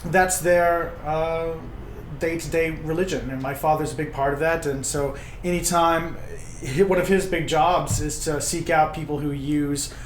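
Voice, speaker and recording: Dude gamer, halting casual talk, roomy echo and a bit of background noise. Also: male voice, on the high side, steady tone. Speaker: tabletop role-playing player. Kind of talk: casual chat describing his character. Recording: echoey room with faint noise.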